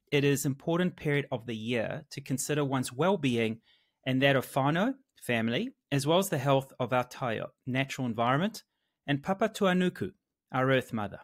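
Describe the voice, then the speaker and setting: A man's voice: medium pitch, animated, moderate pace. A man speaking as a podcast host, introducing the episode and interviewing a guest.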